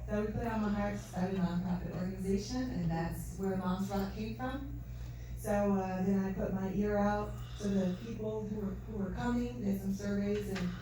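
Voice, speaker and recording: A soft-spoken woman giving an earnest, faith-filled talk at an event, recorded from a distance in a large hall. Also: mid-range voice, moderate pace. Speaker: event speaker. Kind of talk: testimony talk. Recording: distant mic, echoey hall, faint noise.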